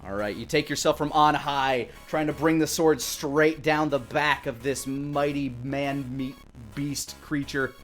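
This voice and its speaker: A man's voice: medium pitch, fairly even intonation, a little slow, hardly any pauses. A man in his thirties acting as dungeon master, narrating a tabletop game with dramatic, descriptive storytelling into a close mic. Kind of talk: improvised game narration for a tabletop role-playing stream. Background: music.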